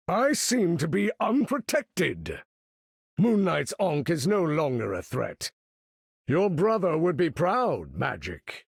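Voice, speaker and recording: A proud older supervillain for a video game, husky and gravelly in a raised register. He speaks slowly and grandly, with formal phrasing and sweeping, commanding swings of pitch. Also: no echo, clean studio sound, exaggerated voice. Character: proud, imperious older male mutant master of magnetism. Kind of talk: theatrical game character voice lines.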